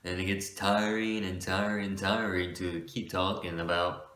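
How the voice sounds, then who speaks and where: A man's voice: flat delivery, moderate pace, fairly bright tone. Shy young man with a light voice. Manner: shy, rambling, full of fillers and self-corrections; shifts between a light voice and a forced deeper one. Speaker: vlogger. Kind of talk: casual talk to camera about his voice. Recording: echoey room.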